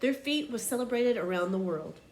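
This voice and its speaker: A woman reading a children's picture book aloud like a teacher, with expressive, emphatic storytelling. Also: fairly high pitch, normal speed, slight background noise.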